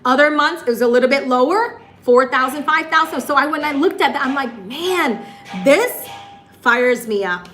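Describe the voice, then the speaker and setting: A woman from Michigan, hosting a casual livestream and chatting with viewers in a bubbly way, her voice hoarse and raspy, laughing often. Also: sits high, animated, normal speed, a bit bright, faint music behind. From Michigan, USA. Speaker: livestream host.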